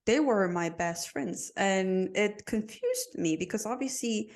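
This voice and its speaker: A young woman from Korea, a native Korean speaker, chatting slowly and thoughtfully as a podcast co-host and laughing at her own slips. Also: medium pitch, expressive. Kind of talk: casual chat.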